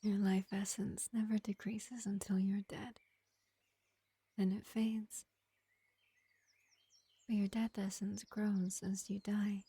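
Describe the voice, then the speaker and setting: A woman playing a gentle, ancient pacifist vampire in an intimate fantasy roleplay, speaking in an unhurried, soothing half-whisper. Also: medium pitch, flat delivery, husky, no echo, heavily acted. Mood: grave, musing. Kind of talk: slow intimate ASMR-style fantasy roleplay.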